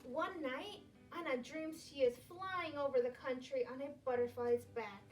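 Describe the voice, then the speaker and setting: A woman teacher reading a picture book aloud to young children in an expressive, clearly phrased storytelling way. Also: high-pitched, a little slow, recorded from a distance, faint music behind.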